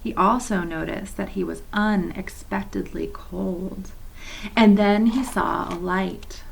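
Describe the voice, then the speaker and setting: A woman reads a children's novel aloud in a gravelly, mid-pitched voice. She stops now and then for gentle, chatty asides to her young listeners. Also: fairly even intonation, slow, slight background noise, poor audio. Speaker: children's story reader at a church.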